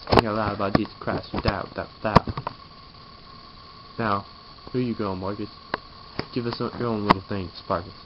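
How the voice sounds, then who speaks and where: A man's voice: lowish voice, steady tone, moderate pace, long pauses. Tired young man mumbling on a lo-fi webcam. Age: young adult. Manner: drowsy, mumbling, trailing off. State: tired, yawning. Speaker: vlogger. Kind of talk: casual vlog with rapped verses. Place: small bedroom, lying down. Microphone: webcam held close overhead. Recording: dull lo-fi webcam audio with noise.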